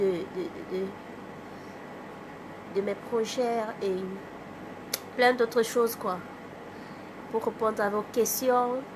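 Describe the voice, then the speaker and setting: A woman from Cameroon with a cold, hosting a casual livestream, greeting viewers by name and chatting in a halting way. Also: medium pitch, noisy background. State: has a cold. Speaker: livestream host, artist. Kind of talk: casual live chat with viewers.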